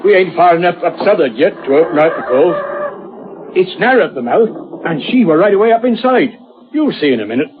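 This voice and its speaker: An actor playing a rough, plain-spoken old whaler in a radio horror drama, with a working-class English accent and a blunt, uneasy manner. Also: male voice, sits high, lively intonation, fairly quick. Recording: dull, noisy old radio recording.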